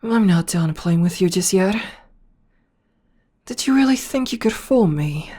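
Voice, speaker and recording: Woman as a low-voiced vampire princess: slow, breathy, condescending ASMR seduction. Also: animated. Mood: mocking. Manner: teasing, condescending, softly purring. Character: haughty seductive noble female vampire princess. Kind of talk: ASMR audio roleplay.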